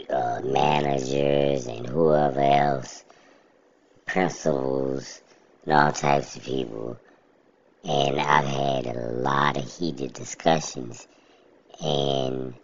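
A man with an extremely deep, gravelly voice talks slowly and evenly in a relaxed monologue. His delivery is plainspoken and level, close to a monotone. The recording sounds dull and lo-fi. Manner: steady, plainspoken, self-described monotone. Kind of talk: casual monologue to camera.

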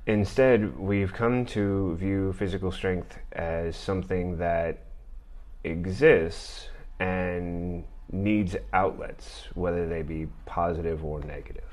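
A middle-aged man with a deep voice, hosting a podcast and talking through an argument in a measured, thoughtful way. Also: steady tone, darkish tone. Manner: measured, thoughtful delivery with occasional fillers. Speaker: podcast host. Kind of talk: reading and commenting on an argument.

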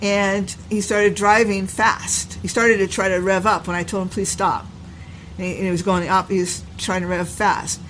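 An older woman telling her stepdaughter about her past in a casual interview, unhurried and reflective, often trailing off while she searches for words. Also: medium pitch, level tone, fairly bright tone, poor audio. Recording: noticeable background noise.

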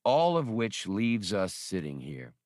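Guy doing a cocky space captain for an audiobook, impatient and sarcastic, low voice, dry sound. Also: huge pitch swings, takes it slowly, darkish tone, dry recording, heavily acted. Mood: pressing. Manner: blunt, sardonic. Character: brash, impatient, sarcastic male starship captain. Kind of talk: audiobook character dialogue.

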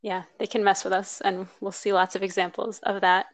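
Upbeat woman podcast host with vocal fry. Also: mid-range voice, little pitch movement, brisk, no room sound. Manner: upbeat and chatty, laughs easily. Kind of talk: casual podcast conversation.

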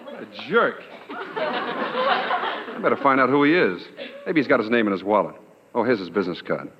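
A man's voice: medium pitch, wildly swooping pitch, normal speed. An actor playing a brash, casual male motorist in a 1940s radio comedy, terse and wisecracking. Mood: exasperated, amused. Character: brash, casual movie-actor motorist, man, easily exasperated. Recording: noisy, dull old radio recording.

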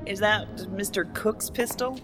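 An actress playing a cool, defiant captive federal agent in a sci-fi audio drama, needling with measured questions. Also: female voice, mid-range voice, natural voice. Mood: cool, accusing. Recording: music under the voice.

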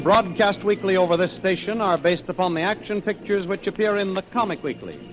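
A man's voice: high voice, rough recording. A man with an American accent narrating an adventure serial in dramatic, declamatory 1930s radio announcer style. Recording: old radio recording, music behind.

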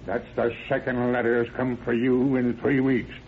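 Muffled old radio drama, guy playing grumpy strict rural dad, curt, folksy, mid pitch, bit of rumble. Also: level tone, normal speed, close to an everyday voice. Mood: gruff, annoyed. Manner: gruff, curt, folksy speech. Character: stern, annoyed small-town father in rural California. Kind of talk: 1930s radio acting.

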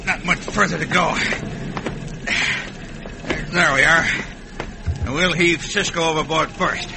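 A man's voice: on the high side, steady tone, takes it slowly, creaky. An actor playing a sly, folksy crooked crewman with a Western drawl in an old radio western. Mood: brisk, straining. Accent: American Western drawl. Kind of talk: 1940s-1950s radio acting. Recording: very noisy old radio drama recording.

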